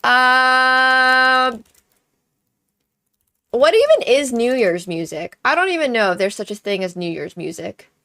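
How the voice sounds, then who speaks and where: A woman's voice: on the high side, very animated, slow pace, bright, forceful tone. A young woman with Chinese as her native language, chatting casually with viewers as a live-stream host. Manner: chatty, thanks viewers, tries greetings in many languages. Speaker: livestream host. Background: faint background music.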